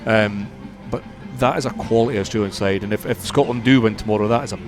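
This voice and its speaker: A man giving conversational sports punditry as a radio guest, measured and repeating words for emphasis. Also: on the low side, fairly even intonation, over music.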